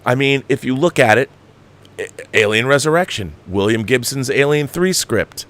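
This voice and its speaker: A man's voice: medium pitch, lively intonation, normal speed, a bit bright. Exasperated man ranting about films. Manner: exasperated, emphatic, profane. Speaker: host of a film commentary show. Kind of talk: opinionated movie review rant.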